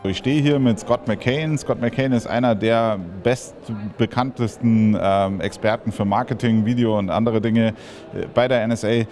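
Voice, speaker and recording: A man's voice: lowish voice, fairly even intonation, normal speed, a little mellow, faint music behind. A middle-aged man, a native German speaker, acting as interviewer at an event. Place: hotel convention corridor. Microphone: handheld interview mic.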